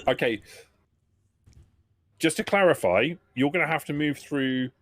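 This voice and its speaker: A man's voice: a little high, animated, a little slow, fairly bright tone, slight background noise. A man acting as game master, improvising narration and rulings for a tabletop roleplaying game.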